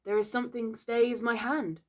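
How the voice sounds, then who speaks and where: A woman's voice: fairly low pitch, steady tone, slow, a bit bright, husky, heavily acted. A woman voicing a cocky boy who leads a band in a storybook, speaking in an imperious, declamatory way. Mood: awed.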